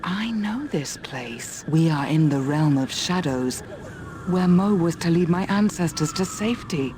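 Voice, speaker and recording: Proud, measured warrior woman. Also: deep voice, lively intonation, noisy background. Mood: reverent awe. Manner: measured, formal, resolute. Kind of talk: video game fantasy acting.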